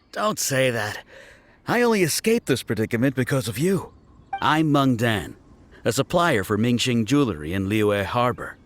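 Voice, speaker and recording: Man acting genial, lively antiques trader. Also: mid-range voice, lightly acted. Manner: polite, salesman-like, theatrical. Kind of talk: animated video game voice acting.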